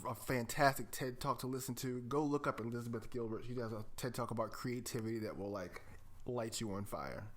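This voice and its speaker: A man's voice: medium pitch, fairly quick, fairly bright tone. Enthusiastic man co-hosting a podcast. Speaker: podcast co-host. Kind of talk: casual conversational podcast discussion.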